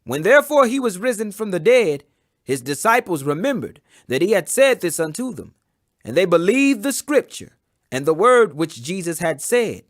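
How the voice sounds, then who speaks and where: A man with a notably high voice reads scripture in a solemn, dramatic audiobook style. His pitch moves widely as he gives weight to the words, and the sound is dry and close, free of room echo. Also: very animated, normal speed. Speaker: scripture reader. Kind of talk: dramatic audiobook Bible reading.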